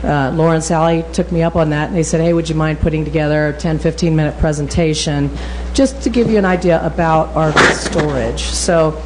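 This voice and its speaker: A woman presenting at a city meeting, a little raspy from a cold, often pausing and restarting. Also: low voice, fairly even intonation, noisy background. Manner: halting, with restarts and ums. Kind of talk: public presentation. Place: meeting hall.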